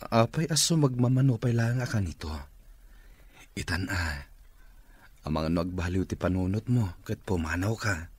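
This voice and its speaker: A man's voice: sits low, lively intonation, unhurried, long pauses, no room sound. An actor playing a lovestruck, persistent boss courting his clerk in an Ilocano radio comedy drama, pleading and theatrical. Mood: pleading, insistent.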